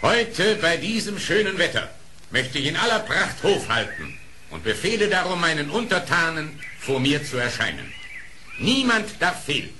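Pompous, commanding lion king, animated. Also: fairly high pitch, normal speed, background noise. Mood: regal. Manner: pompous, imperious, formal. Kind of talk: German fairy-tale radio play acting.

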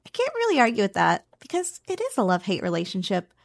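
A woman's voice: mid-range voice, huge pitch swings, fast, creaky, no room sound, studio quality, exaggerated voice. A woman playing a playful, teasing slime girl roommate in a casual, chatty ASMR roleplay. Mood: casual, explaining. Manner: teasing, chatty, conversational with chuckles. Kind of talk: ASMR roleplay, one-sided casual conversation.

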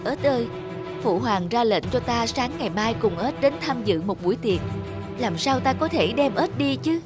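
A woman's voice: a little high, lively intonation, moderate pace, over music, lightly acted. A woman voicing an earnest, lovestruck young prince in a fairy-tale cartoon, with formal phrasing. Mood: worried.

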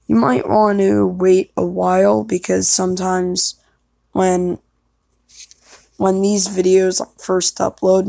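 A woman's voice: lowish voice, gravelly, warm tone, dry recording, studio quality. A young woman chatting casually and self-consciously, hesitant and apologetic as she records herself. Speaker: amateur voice actor recording an audition.

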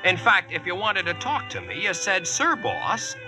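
An actor with an American accent playing a brash, slangy, wisecracking Yankee boss in a vintage radio drama. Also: male voice, sits high, huge pitch swings, music in the background. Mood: boastful. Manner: slangy, brisk, cocksure. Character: brash wisecracking modern Yankee man bossing King Arthur's court. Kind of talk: 1940s radio acting and first-person narration. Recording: noisy vintage radio recording.

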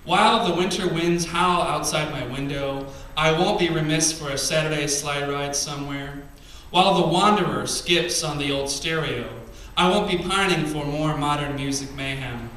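A man in his thirties performs his poems at a small cafe open mic, leaning hard into the rhythm with a bright, slightly high voice. Between pieces he drops into soft, offhand asides. The sound is caught by a distant camera, so the room rings heavily around him over a faint hum of ambience. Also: moderate pace, few pauses. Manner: emphatic, rhythmic poetry delivery with quiet asides between poems. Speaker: spoken word poet. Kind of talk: spoken word poetry reading. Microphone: stand mic, far camera. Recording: echoey venue, camera from far off.

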